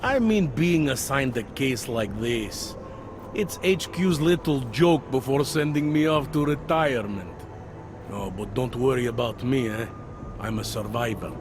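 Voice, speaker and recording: An actor playing a weary, self-deprecating older cop near retirement in a video game, talking in a wry, conversational way. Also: male voice, medium pitch, a little slow, noisy background, lightly acted. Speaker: police officer. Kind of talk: video game cutscene dialogue. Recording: background music under dialogue.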